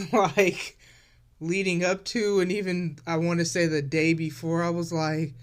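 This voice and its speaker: Woman in her forties, podcast host, unhurried, smooth clear voice, reflective chatty monologue. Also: lowish voice, flat delivery. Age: 40s. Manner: reflective, loose and chatty, addresses listeners as y'all. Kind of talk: casual solo podcast monologue.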